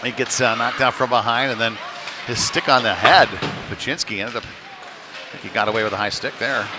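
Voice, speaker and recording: A man giving halting, conversational live play-by-play of a youth hockey game in a folksy manner. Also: medium pitch, fairly even intonation. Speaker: play-by-play commentator. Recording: noisy live rink stream.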